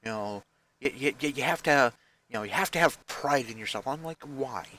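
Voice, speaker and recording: A man's voice: medium pitch, lively intonation, moderate pace, sharp tone. A man in his forties talking into a headset mic at home, rambling and running himself down, with many restarts. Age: 40s. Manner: rambling, self-deprecating, frequent restarts. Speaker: vlogger. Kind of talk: casual self-deprecating vlog talk. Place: basement room at home.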